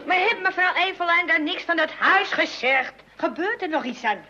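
Doom-and-gloom household lady, high sharp voice, old muffled radio comedy. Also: lively intonation, moderate pace, exaggerated voice. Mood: indignant. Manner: theatrical, lamenting. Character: doom-laden, indignant member of a household. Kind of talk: old-fashioned Dutch radio comedy acting. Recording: dull, noisy old radio recording.

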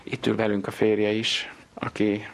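A man's voice: on the low side, monotone. A man asking questions as a documentary interviewer.

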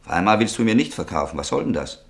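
A man's voice: low voice, very animated, fast talker, gravelly, exaggerated voice. An actor playing an impatient, demanding man in a German radio play, speaking in a pressing, irritated manner.

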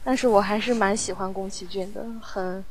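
A woman interviewed in a casual chat, talking in a halting, reflective way as she explains her work. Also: medium pitch, fairly even intonation, a little hiss or hum. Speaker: audio drama sound producer, interview guest.

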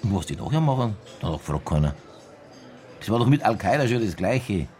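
An actor playing a stubborn police detective in a radio drama, with a Bavarian accent and a dry, persistent way of questioning. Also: male voice, lowish voice, very animated, fairly quick, darkish tone. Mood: indignant.

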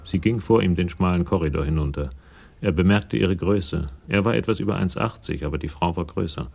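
An actor narrating a crime radio play as an observant storyteller, measured and matter-of-fact. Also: male voice, low-pitched, vocal fry, darkish tone. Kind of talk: scripted narration in radio drama.

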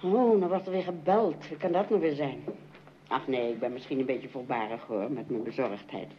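A woman's voice: a bass voice, moderate pace. An actress playing a caring, mildly worrying middle-aged mother in a Dutch radio play. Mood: worried. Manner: expressive, gently admonishing. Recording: muffled radio recording, faint noise.

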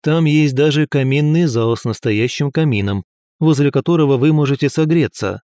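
A man's voice: medium pitch, moderate pace, dark tone, no echo, studio quality, close to an everyday voice. A male audiobook reader voicing an eager, helpful young courtier who speaks up readily. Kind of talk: audiobook character voice.

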